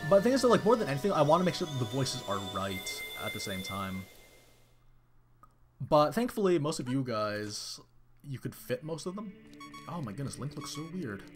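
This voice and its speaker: A man chatting casually to viewers on a live game stream, excitable and rambling, with many restarts. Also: mid-range voice, normal speed, over music. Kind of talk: casual live-stream chat while playing.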